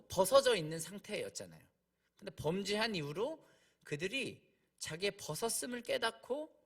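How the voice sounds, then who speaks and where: Male preacher, slightly high voice with highly varied intonation; explains conversationally, repeats key points, asks rhetorical questions. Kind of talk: conversational expository sermon.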